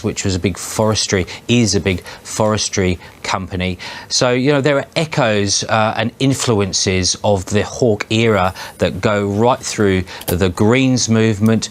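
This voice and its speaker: An Australian man giving live political news commentary as a journalist, speaking in a hesitant, halting way. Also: lowish voice.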